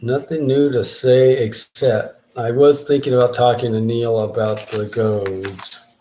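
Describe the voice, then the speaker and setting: Guy rambling thru a casual update on his own show, mid-pitch, thinking out loud as he goes. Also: fairly even intonation, measured pace. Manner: rambling, thinking aloud with restarts. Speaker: podcast host and rap artist.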